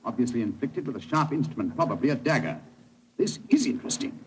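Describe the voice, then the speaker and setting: A male actor plays a cool, curious British sleuth in an old radio mystery. The delivery is quick, clipped and witty, with wide expressive swings and great authority. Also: mid-range voice, natural voice, poor audio. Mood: keenly intrigued. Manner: clipped, articulate, wry, authoritative. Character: cool, witty gentleman detective, curious and imperious. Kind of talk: old-time radio drama acting. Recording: vintage radio recording, faint noise.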